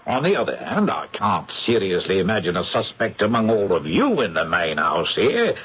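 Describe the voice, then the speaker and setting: Husky, deferential police superintendent. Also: male voice, deep voice, wildly swooping pitch, moderate pace, fairly bright tone, heavily acted. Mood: deferential, chatty. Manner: colloquial, deferential, hesitant phrasing. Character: deferential, wordy, down-to-earth British police superintendent. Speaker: actor in radio mystery. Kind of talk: old-time radio drama acting. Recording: dull old radio recording.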